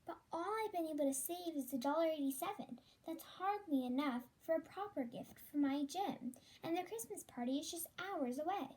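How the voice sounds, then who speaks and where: Child playing an anxious young wife, very high voice. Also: animated, a little fast, hardly any pauses, darkish tone. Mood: worried. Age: child, about ten. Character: young wife, anxious and devoted, early twentieth century. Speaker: fourth grade student actor. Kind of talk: school radio play acting.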